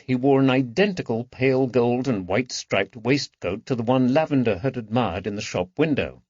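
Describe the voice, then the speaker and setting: A man narrates a historical detective novel in a scripted audiobook style. His pitch sits mid-range for a male voice, and the recording is dry and somewhat dull. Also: a little mellow, no echo.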